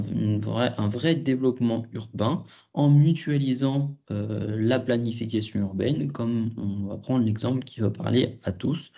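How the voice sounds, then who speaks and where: A man giving an explanatory lesson as a trainer, hesitant and often pausing mid-phrase. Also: medium pitch, warm tone, slightly rough, lo-fi sound. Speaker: trainer on local government. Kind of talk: explanatory lesson, semi-prepared.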